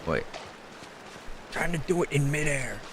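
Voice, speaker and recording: A man's voice: on the high side, big pitch swings, a little slow, noisy background. A man streaming a video game, giving casual commentary in a laid-back, offhand, joking way. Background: game music.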